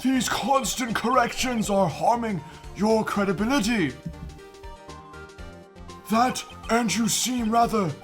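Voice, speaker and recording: A man voicing an elderly, stern and dignified judge in a video game fan dub, speaking formally and with measured pomp. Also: high-pitched, fairly bright tone. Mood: solemn. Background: game music.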